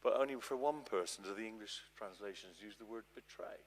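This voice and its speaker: A man's voice: lowish voice, a little fast. Man explaining his work in echoing church. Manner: explanatory, with repeated restarts. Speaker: translator introducing his gospel translation. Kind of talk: informal public talk. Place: large church, addressing an audience. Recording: long echoey church reverb.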